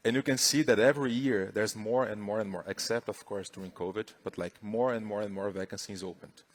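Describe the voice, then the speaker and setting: A man's voice: on the low side, steady tone, a little fast. A middle-aged Brazilian man with a Brazilian Portuguese accent, giving a chatty, upbeat conference talk on stage. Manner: upbeat, chatty, filler-heavy. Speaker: conference speaker.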